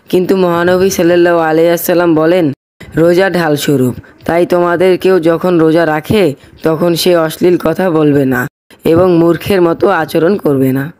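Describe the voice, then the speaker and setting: A man's voice: fairly high pitch, steady tone, normal speed, a little mellow, natural voice. A man playing a pious, patient boy in a moral cartoon, earnest and instructive with his friend. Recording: faint background music.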